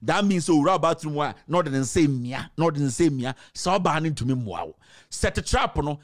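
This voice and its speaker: Fairly high-voiced Ghanaian man giving advice. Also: animated, a little fast. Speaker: commentator. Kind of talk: opinionated advice monologue. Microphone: close studio microphone.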